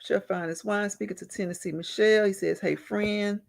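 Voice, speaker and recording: Gravelly-voiced woman hosting a live chat. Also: medium pitch, fairly even intonation, moderate pace. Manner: laughs often, greets viewers by name. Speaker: livestream host reading chat.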